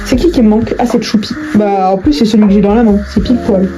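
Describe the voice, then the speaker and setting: A woman's voice: medium pitch, steady tone, fast talker, music in the background. A young adult woman chatting casually in slangy, informal language, thinking aloud as she crafts. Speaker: online video creator. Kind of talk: casual chat while crafting.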